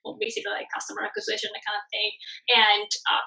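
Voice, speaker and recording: A woman from Bali, Indonesia, in her thirties, telling her story as a guest in a casual podcast interview. She talks in an animated way and laughs often. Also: very high voice, fast, gravelly, rough recording. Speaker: guest, branding expert.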